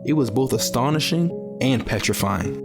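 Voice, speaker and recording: An actor playing a jaded, cautious young survivor who narrates an audio drama in a weary, skeptical way. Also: male voice, medium pitch, animated, moderate pace, over loud music, close to an everyday voice. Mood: reflective.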